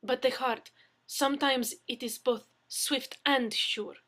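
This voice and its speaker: A woman's voice: high voice, steady tone, slow, fairly bright tone, voice pushed up. A woman voicing a coy, effusive young Russian woman who speaks broken English with a Russian accent in a story. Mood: earnest, tender. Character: coy, theatrically pleading young foreign woman, Russian fiancée.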